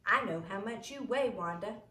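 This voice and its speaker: A woman's voice: medium pitch, lively intonation, moderate pace, pushed and bright, far from the mic. A woman reading a storybook, voicing a bold, sly schoolgirl bully with a blunt, taunting manner. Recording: roomy echo, noisy home recording.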